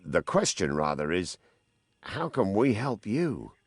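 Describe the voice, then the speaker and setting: Resolute male game warrior, husky voice with very lively pitch, courteous but commanding. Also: slow pace, dry recording, studio quality, faint music behind, full character voice. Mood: firm. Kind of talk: dramatic video game voice acting.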